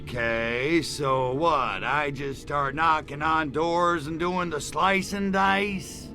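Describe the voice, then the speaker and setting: A man with an American accent voicing a cocky, wisecracking alien invader in a video game, gravelly, in sardonic hipster slang. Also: a little high, slow, pushed and bright, soft background music, exaggerated voice. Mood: puzzled, flippant. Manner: laid-back hipster slang, sardonic drawl. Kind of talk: video game cutscene acting.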